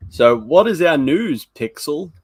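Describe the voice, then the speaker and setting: A man's voice: on the high side, sing-song, measured pace, husky, no room sound. A man hosting a live stream in casual chat, with a joking, wry way of talking. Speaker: host of a weekly fan live stream.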